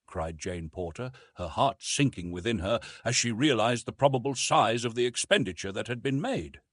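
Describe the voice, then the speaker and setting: A man's voice: medium pitch, expressive, normal speed, a bit bright, no echo, clean studio sound. A man reading a literary adventure romance as a scripted audiobook narration.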